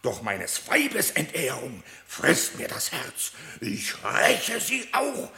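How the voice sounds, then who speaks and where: An actor playing an aggrieved, bitter wolf in a verse radio drama, pleading solemnly in a gravelly voice. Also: male voice, on the high side, wildly swooping pitch, slow, sharp tone, exaggerated voice. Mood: bitter, vengeful. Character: aggrieved male wolf, bitter accuser at court.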